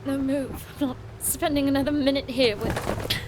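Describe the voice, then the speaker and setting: A woman's voice: high-pitched, fairly even intonation, normal speed, background noise, close to an everyday voice. A woman playing a sarcastic, defiant young noblewoman explorer in an audio drama, with a haughty, theatrical manner. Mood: indignant.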